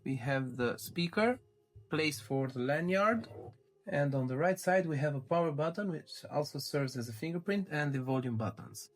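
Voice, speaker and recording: A man presenting a casual tech unboxing, explaining things step by step with small hesitant pauses. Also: fairly high pitch, lively intonation. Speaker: tech reviewer presenting his channel.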